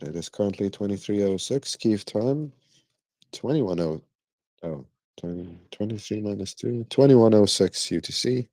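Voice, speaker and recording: A man's voice: low voice, dark tone, no echo. A man hosting a live audio show, giving an announcer-style introduction to an update. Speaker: show host.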